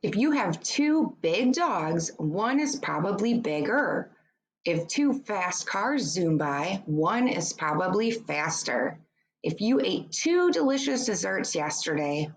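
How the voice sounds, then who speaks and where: Slow-paced woman reading aloud to pupils. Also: lowish voice, expressive, poor audio. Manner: deliberate, instructive, addressing young pupils. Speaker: teacher reading a book aloud. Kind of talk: children's educational read-aloud with short explanations.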